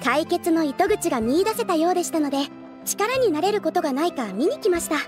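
Very high-voiced, polite receptionist. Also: female voice, sing-song, a little fast, music in the background. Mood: helpful. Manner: polite, formal, helpful. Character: courteous, composed young female guild receptionist in maid dress. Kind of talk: Japanese anime game voice acting.

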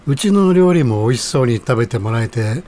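Over some background noise, a man acts out a middle-aged ramen stall keeper in a radio drama. He is genial, humble and very courteous, with an average-pitched voice. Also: lively intonation, a little fast, mellow, close to an everyday voice. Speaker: voice actor in an audio drama. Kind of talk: audio drama dialogue.

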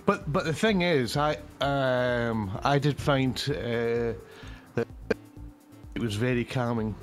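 A man co-hosting casually on a remote call, talking in a halting, grumbling way. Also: medium pitch, soft background music. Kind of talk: casual chat.

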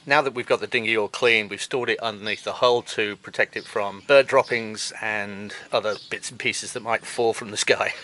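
A middle-aged man hosting a sailing vlog, talking in a relaxed, matter-of-fact way outdoors in a boatyard and narrating voice-overs. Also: fairly low pitch, normal speed, few pauses. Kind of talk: casual chat and voice-over narration.

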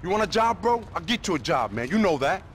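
An exasperated young man from the streets in a video game. His voice is fairly high and very animated, with slang and bits of Spanish. Light traffic ambience runs behind it. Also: fast. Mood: frustrated. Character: exasperated young male nightclub worker from the streets. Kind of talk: video game cutscene acting.

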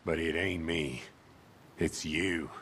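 An actor playing a grim, menacing American outlaw in a western video game, with an ominous, taunting drawl. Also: male voice, rumbling low, takes it slowly, a bit bright, a little hiss or hum, exaggerated voice. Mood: grimly scornful. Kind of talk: video game cutscene monologue.

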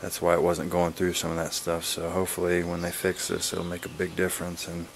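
A man giving a casual, laid-back vlog update in a halting, plain-spoken way, recorded on a handheld camera in a garage. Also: low-pitched, monotone, creaky, a little hiss or hum. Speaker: wood-splitting video blogger. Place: garage, open to outdoors. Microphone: handheld camera's built-in mic.

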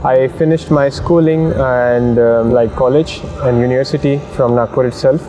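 A young Indian man with an Indian English accent speaking in a documentary interview, relaxed and hesitant with frequent fillers. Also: medium pitch, normal speed, rough recording. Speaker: sales manager.

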